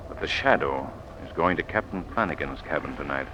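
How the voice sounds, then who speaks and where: An actor playing a suave, resolute gentleman sleuth in a 1930s radio drama style. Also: extremely low pitch, expressive, brisk. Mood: composed, grave. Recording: muffled, noisy old radio recording.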